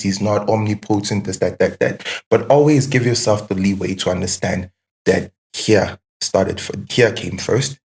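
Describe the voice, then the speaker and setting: Male podcast guest, lowish pitch. Casual, reflective, questioning delivery with emphatic repetition. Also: a little mellow.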